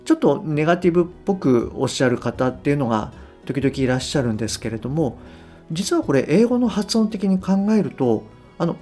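A middle-aged man talking unscripted as a podcast host and coach, easygoing and unhurried, explaining things to the listener with gentle emphasis and hesitant phrasing. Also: a little high. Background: guitar music.

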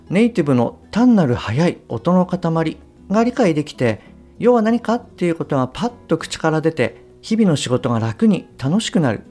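A man's voice: mid-range voice, a little mellow. A man with a Japanese accent, a native Japanese speaker, presenting a podcast lesson as a polite, instructional coach and host. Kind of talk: podcast lesson, reading aloud and explaining.

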